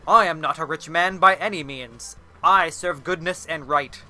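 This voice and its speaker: A reader playing a forceful, noble male hero. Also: medium pitch, normal speed, faint music behind, lightly acted. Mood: earnest. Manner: declamatory, formal storybook phrasing. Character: noble, earnest male vampire-hunting hero.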